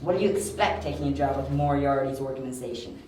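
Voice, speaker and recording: A man's voice: medium pitch, quick pace, darkish tone, lightly acted. A very echoey, distant recording of a man acting as a young detective in an audio drama. He is brash and sure of himself. His delivery is dry and clipped, with a sardonic edge. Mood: challenging. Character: confident, wry young male detective, analytical and brash. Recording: very echoey, distant, faint hiss.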